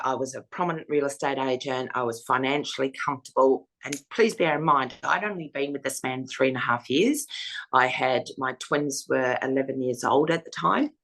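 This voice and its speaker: A woman with a husky voice, frankly recounting her own experience as an interview guest. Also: rumbling low, fairly bright tone. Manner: frank, matter-of-fact storytelling. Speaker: foundation founder, interviewee. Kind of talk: personal testimony in an interview.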